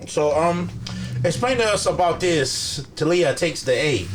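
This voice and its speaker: A man's voice: fairly high pitch, lively intonation, a little slow, a little hiss or hum, low-quality recording. A man hosting a casual podcast interview, talking informally and talking up his guest. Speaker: podcast host.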